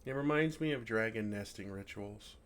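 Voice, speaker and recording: A man playing a gentle, affectionate, innocently oblivious dragon in an intimate, earnest ASMR roleplay. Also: on the low side, moderate pace, close to an everyday voice. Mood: puzzled affection. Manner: earnest, softly teasing, innocently puzzled.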